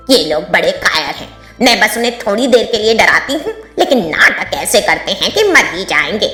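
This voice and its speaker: A woman's voice: medium pitch, sing-song, normal speed, pushed and bright, echoey room, faint music behind. A woman voicing a mischievous, gleeful female ghost in a cartoon story, taunting and theatrical. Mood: scornful. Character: mischievous gleeful female ghost who loves scaring travellers. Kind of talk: Hindi cartoon moral story voice acting.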